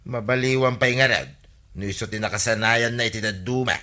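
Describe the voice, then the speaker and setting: A man as a bright-voiced, earnest village uncle. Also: mid-range voice, brisk, natural voice. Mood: earnest, concerned. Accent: Ilocano. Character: earnest older village uncle, concerned and advising. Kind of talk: Ilocano comedy radio drama acting.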